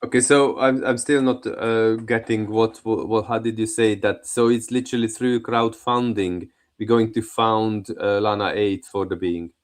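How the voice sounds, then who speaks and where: A man whose first language is Hungarian asks questions in a group discussion. He sounds hesitant, hunting for words. His voice sits on the low side. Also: steady tone, moderate pace, few pauses. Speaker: participant asking questions.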